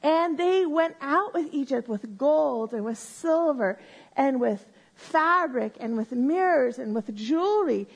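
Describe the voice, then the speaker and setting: A woman teaching a Bible lesson to a live group on a microphone, explaining slowly and step by step and often checking in with "right?". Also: high voice, animated, creaky. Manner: says she has a loud voice. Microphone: handheld or stand mic.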